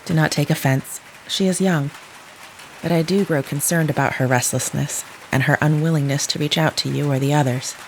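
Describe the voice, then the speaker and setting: Clinical caregiver, precise wording, stilted, earnest audio drama role, light rain noise. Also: female voice, sits low, moderate pace, poor audio. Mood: gentle, concerned. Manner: stilted, precise, clinical phrasing without contractions. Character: formal, literal-minded woman caring for a troubled child. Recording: steady rain noise behind the voice.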